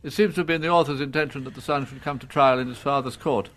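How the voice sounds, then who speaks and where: An older man with an English accent, acting a proud, icy judge in a radio drama, with formal, measured, pompous diction. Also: mid-range voice, fairly bright tone, natural voice. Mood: coolly judicial.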